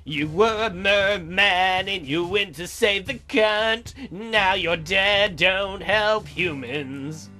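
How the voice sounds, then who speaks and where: A man's voice: sits high, slow, hardly any pauses, pushed and bright, soft background music. A man hosting a let's play, reading a visual novel aloud with crude, irreverent joking asides.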